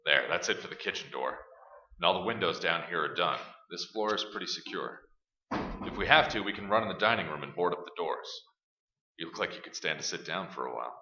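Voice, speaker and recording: A man's voice: low-pitched, animated, a little fast. An actor playing a determined, protective man taking charge in a horror radio play, speaking urgently and practically, with a commanding manner. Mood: firm, reassuring. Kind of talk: staged radio play acting. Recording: roomy, dull, noisy live stage recording.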